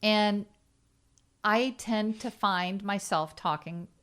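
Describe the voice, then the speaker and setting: A woman's voice: medium pitch, slow, a bit bright, smooth. A woman of about sixty co-hosting a casual interview, chatty and asking earnest follow-up questions. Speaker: podcast co-host.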